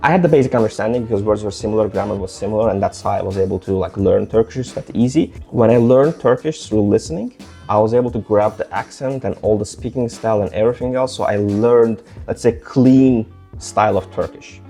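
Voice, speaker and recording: A young man from Azerbaijan speaks English with a mostly American, slightly British accent. His voice sits fairly low and sounds warm and dark. He rambles through his advice with frequent "like" fillers, and soft music plays faintly underneath. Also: a little fast, gravelly. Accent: mostly American with some British. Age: young adult. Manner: rambling, many "like" fillers and restarts. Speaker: language-learning vlogger. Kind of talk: casual advice talk to camera.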